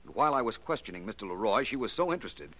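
An actor playing a suave, confident American detective in 1940s radio drama style, speaking deliberately and with assurance. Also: male voice, on the low side, very animated, a bit bright, rough recording. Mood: assured explaining. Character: confident, shrewd male private detective explaining a solved case. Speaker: lead actor.

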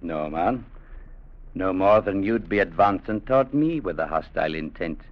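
An actor playing a steady, defiant company clerk, measured and matter-of-fact, narrating and acting in a 1950s radio drama. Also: male voice, deep voice, slight background noise. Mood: cool defiance. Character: steady, defiant young company clerk telling his adventure. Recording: dull vintage radio broadcast, music behind.

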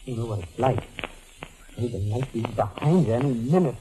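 A man's voice: medium pitch, animated, background noise, lightly acted. Man acting a stammering, distraught fugitive doctor. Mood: weary, desperate. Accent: American. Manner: stammering, halting, repeating words. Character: distraught, guilt-ridden doctor on the run, pleading and frantic. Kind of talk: 1940s radio mystery acting. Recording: old 1940s radio broadcast.

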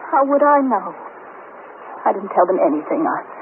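A woman's voice: mid-range voice, sing-song, moderate pace. An actress playing an anxious, earnest wife of an arrested man, plaintive, in a 1950s radio drama. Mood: hurt, upset. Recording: noisy, narrow-band 1950s radio recording.

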